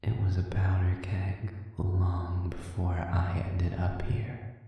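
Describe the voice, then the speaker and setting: A performer voicing a sadistic male demon prisoner in an audio roleplay, speaking formally and sardonically with a taunting, manipulative silkiness that often sinks toward a breathy whisper. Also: low-pitched, completely flat delivery, slow pace. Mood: ominous, taunting. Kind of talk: slow audio roleplay monologue addressed to listener. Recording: cavernous echoing reverb, distant and muffled.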